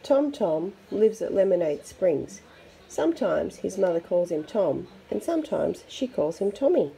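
Gravelly-edged woman reading a children's picture book. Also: on the low side, a little slow, low-quality recording. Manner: expressive, with chatty asides to young listeners. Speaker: storybook reader for children.

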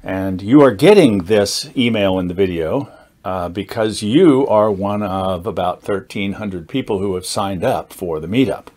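A man in his sixties giving an informal explanatory talk to camera as a group host, measured and halting, with deliberate emphasis. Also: low-pitched, a little mellow. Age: 60s. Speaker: meetup founder and host, teacher. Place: indoors, small studio with dark curtain backdrop.

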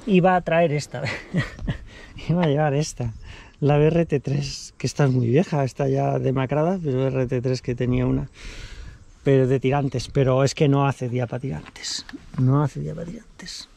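A man's voice: mid-range voice, fairly even intonation, moderate pace, creaky, dark tone, slight background noise. A man, casually showing his kit outdoors by his car, chatty and repeating himself as he talks to an action camera held at arm's length. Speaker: vlogger. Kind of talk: casual show-and-tell vlog.

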